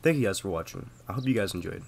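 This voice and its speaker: A young man who is sick reads a scripted history explainer in a steady, explanatory way, then signs off casually. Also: sits low, rapid-fire, creaky, a little hiss or hum. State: sick, voice sounds off. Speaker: history video narrator. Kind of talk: scripted narration.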